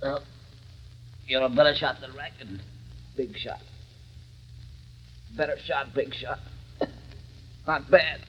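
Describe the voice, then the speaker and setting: A man acting a bitter, vengeful gangster henchman, snarling tough slang in a gravelly, strained voice for 1930s radio. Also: mid-range voice, fairly even intonation, takes it slowly, pauses often, close to an everyday voice. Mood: dying defiance. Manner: snarling tough-guy talk. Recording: dull, noisy old radio recording.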